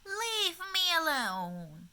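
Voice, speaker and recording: A woman voicing an exasperated small old grandmother in a children's storybook, with loud, drawn-out shouts. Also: very high voice, sing-song, voice pushed up, heavily acted. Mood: exasperated shouting. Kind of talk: children's storybook read-aloud.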